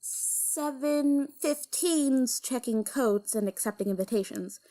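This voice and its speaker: Woman as a brisk, higher-voiced heist planner. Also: warm tone, rough recording. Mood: businesslike. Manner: precise, businesslike, prim. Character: brisk, officious, exacting female heist planner. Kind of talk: audio drama acting.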